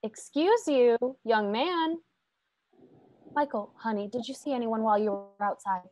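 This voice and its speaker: Slow-spoken, tender but firm mother, acted by a woman. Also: fairly high pitch, close to an everyday voice, rough recording. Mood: firmly scolding. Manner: gentle, measured, admonishing. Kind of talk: radio drama read-through. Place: home room, remote video call.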